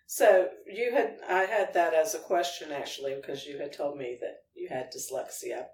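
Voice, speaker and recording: Distant, echoey woman interviewer, slightly low voice. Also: moderate pace, darkish tone. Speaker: guest host, interviewer. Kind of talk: casual podcast interview questions. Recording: distant, echoey room, faint noise.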